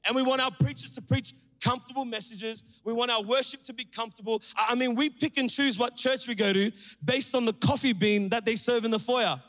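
Energetic male preacher from Sri Lanka, high voice, playful asides, church hall reverb. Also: brisk. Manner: energetic, joking, calls out to the crowd. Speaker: pastor. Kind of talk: sermon. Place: church auditorium.

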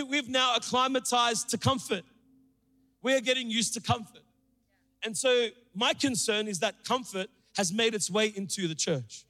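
High-voiced pastor preaching in a reverberant hall. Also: male voice. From Sri Lanka. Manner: energetic, joking, calls out to the crowd. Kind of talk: sermon. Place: church auditorium.

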